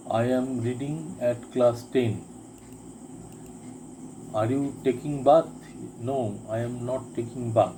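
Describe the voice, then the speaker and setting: Man, slow deliberate drill reading, crickets chirping loudly behind. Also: mid-range voice, noisy background. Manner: deliberate, repeats each sentence twice. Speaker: English teacher. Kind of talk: spoken English lesson, reading drill sentences.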